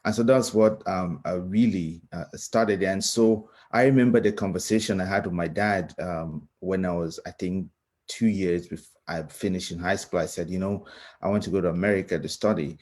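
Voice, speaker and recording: A man with a Cameroonian accent speaks in a very low, husky voice. He talks reflectively as a guest speaker, earnest and thoughtful, often halting to find the next phrase. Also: steady tone, darkish tone. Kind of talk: reflective personal talk.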